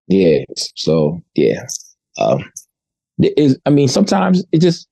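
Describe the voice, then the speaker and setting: A man hosting a podcast, telling stories in a slangy, animated, casual way. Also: lowish voice, slow pace, creaky, breathy edge, no room sound. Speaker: podcast host.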